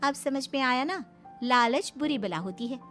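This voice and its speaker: Woman as pert young bride in a cartoon, super high voice, sassy, music clearly behind her. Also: animated, moderate pace, a bit bright. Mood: scolding. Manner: pert, scolding. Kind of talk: animated moral story dialogue.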